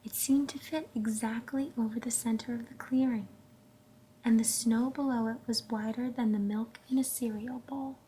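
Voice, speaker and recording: A woman reading a children's story aloud in a gentle, hushed, unhurried way, pausing to put questions to her listeners. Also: a little high, steady tone, a little hiss or hum, low-quality recording. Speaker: storybook reader.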